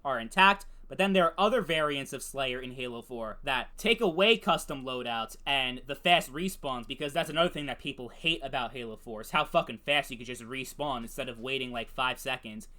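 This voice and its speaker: A man's voice: mid-range voice, a little fast, hardly any pauses, pushed and bright. A man with a Brooklyn accent giving a blunt, opinionated gameplay commentary for his video channel, cursing freely. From United States. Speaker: gaming YouTuber.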